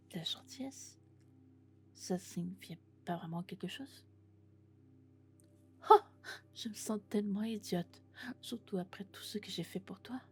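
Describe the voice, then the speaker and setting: A woman playing a lovesick, possessive female robot in an ASMR roleplay, speaking in a hushed, breathy, intimate whisper. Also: mid-range voice, natural voice. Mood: hurt, pleading, then apologetic, confessing.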